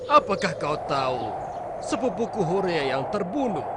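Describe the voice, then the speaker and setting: Seorang aktor pria memerankan ayah paruh baya yang pemarah, licik dan suka membual dalam kartun religi anak, dengan gaya teatrikal. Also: male voice, sits high, big pitch swings, moderate pace, heavy background noise. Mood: indignant. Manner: theatrical, scolding. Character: irritable scheming middle-aged father, boastful shepherd and trader. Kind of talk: dubbed children's religious cartoon acting. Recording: loud music behind the voice.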